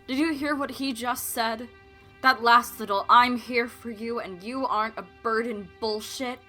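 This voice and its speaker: A woman's voice: on the high side, bright, forceful tone. A woman playing a cynical, candid narrator in a scripted audio drama. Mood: scornful. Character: cynical, candid female narrator of a heartbreak story. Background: music.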